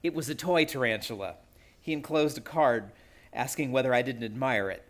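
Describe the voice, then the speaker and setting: A man's voice: mid-range voice, moderate pace, lightly acted. An actor playing a proud, nerve-racked young man in a suspense radio play, earnest and tense. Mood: haunted, bitter.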